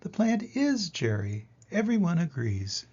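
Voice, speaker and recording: An older man reading a children's picture book aloud in an expressive way, with dramatic pauses and emphasis. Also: on the high side, husky, muffled recording. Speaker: reader of children's picture books. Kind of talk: animated storybook read-aloud.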